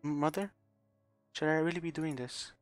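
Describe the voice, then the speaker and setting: A man's voice: on the high side, steady tone, moderate pace, faint music behind, voice pushed up, close to an everyday voice. A man voicing a desperate, unhinged young woman who pleads and stammers through repeated restarts. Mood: anguished. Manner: pleading, sobbing, stumbling restarts.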